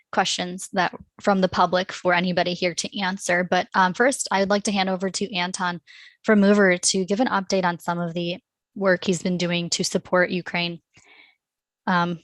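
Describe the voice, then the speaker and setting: A woman with a fairly low, even voice runs an online meeting, polite and measured. Her level delivery sits in a dry, close sound with no room echo. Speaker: meeting host. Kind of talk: meeting moderation. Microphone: video call.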